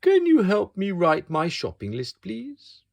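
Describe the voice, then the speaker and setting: A man's voice: sits high, wildly swooping pitch, unhurried, vocal fry, voice pushed up, exaggerated voice. A man voicing a polite, hopeful, put-upon wolf from a picture book, pleading nicely.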